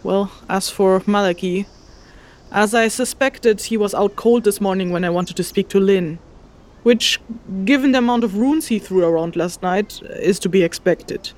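An actress playing a practical, slightly exasperated scholar-investigator in a fantasy audio drama, speaking in a dry, matter-of-fact manner with hesitant asides. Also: female voice, medium pitch, normal speed, a little mellow, close to an everyday voice. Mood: methodical. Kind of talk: immersive fantasy audio drama, spoken journal.

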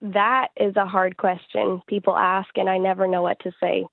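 A woman's voice: mid-range voice, flat delivery, moderate pace, vocal fry, no room sound, narrow, tinny audio. A woman who sings and writes gospel music, answering interview questions in a conversational way. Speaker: interview guest, gospel singer-songwriter.

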